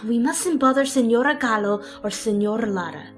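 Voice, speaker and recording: A woman's voice: a little high, animated, moderate pace, faint music behind, close to an everyday voice. A woman acting an anxious, protective female guardian in a fan audio drama, scolding with urgent reproach. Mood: worried, scolding.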